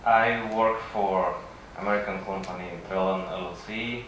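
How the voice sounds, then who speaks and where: A man with a Russian accent, a native Russian speaker, giving a halting conference presentation with frequent pauses. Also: sits low, steady tone. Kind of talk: conference presentation, semi-improvised. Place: conference session room. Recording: echoey room from far off, faint noise.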